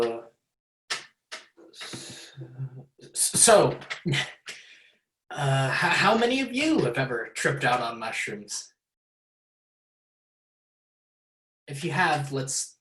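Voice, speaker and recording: An actor playing a rambling, awkward science lecturer in a theatrical monologue, halting, stammering and breaking off mid-thought. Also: mid-range voice, a bit bright, a little hiss or hum. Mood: flustered. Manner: halting, stammering, pausing, self-interrupting. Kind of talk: theatrical monologue addressed to an audience. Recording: roomy, echoing sound.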